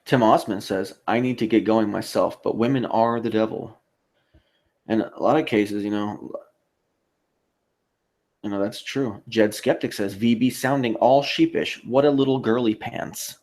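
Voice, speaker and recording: Low, creaky-voiced man on a livestream. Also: steady tone, unhurried, a little mellow. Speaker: live stream host. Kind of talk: casual livestream chat, reading viewer comments aloud.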